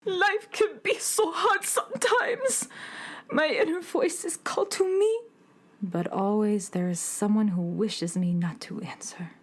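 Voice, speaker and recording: A woman's voice: on the high side, slow, husky. A woman playing a melodramatic beatnik cat-girl from the Moon in a children's radio play, with grand, world-weary pronouncements. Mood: weary, resigned.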